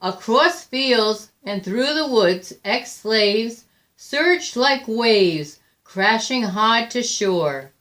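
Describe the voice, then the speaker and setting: A woman reading a children's picture book aloud with deliberate, expressive phrasing. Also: fairly high pitch. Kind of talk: read-aloud children's storytelling.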